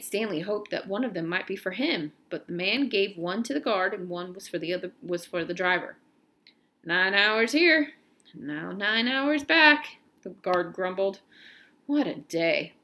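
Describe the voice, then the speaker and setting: A woman reading a children's novel aloud, somewhat haltingly, often stopping to correct herself. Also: mid-range voice, a bit bright. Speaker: read-aloud narrator.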